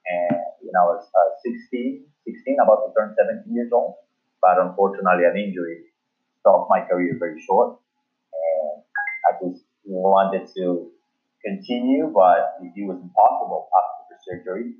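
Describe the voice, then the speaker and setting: A man's voice: low voice, normal speed. A middle-aged man from Ecuador with an Ecuadorian Spanish accent, telling his career story in an interview with many fillers and restarts. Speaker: sports agency founder. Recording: tinny phone-like line.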